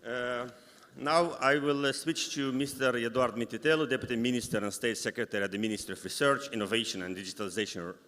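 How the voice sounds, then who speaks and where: A man who is a non-native English speaker moderates a live conference panel, rephrasing haltingly as he goes. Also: mid-range voice, steady tone, fairly bright tone. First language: not English. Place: large indoor conference hall. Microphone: event microphone. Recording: echoey hall sound system.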